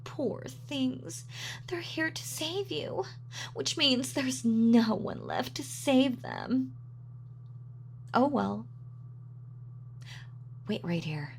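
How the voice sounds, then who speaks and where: A woman's voice: high voice, huge pitch swings, extremely slow, gravelly, pauses often, a little hiss or hum, exaggerated voice. A woman playing a gloating, sadistic supervillain in an audio roleplay, with a mock-sweet, sardonic, taunting manner. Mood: smug, mock pity. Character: gloating female supervillain, sadistic, darkly playful. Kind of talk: villain monologue in audio roleplay.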